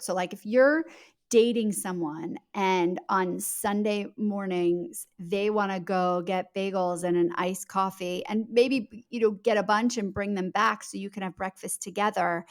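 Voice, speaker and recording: Emphatic, fluent woman with mid-pitched voice. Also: darkish tone. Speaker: guest author. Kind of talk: conversational interview explanation.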